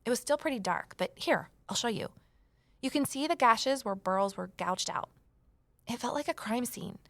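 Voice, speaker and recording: A woman's voice: a little high, lively intonation, normal speed, vocal fry, a bit bright, dry recording. A woman playing an earnest, anxious amateur sleuth who acts and narrates in an audio drama in a confiding, intense way. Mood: earnest, intent. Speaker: lead character and first-person narrator. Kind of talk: full-cast audio drama acting and narration.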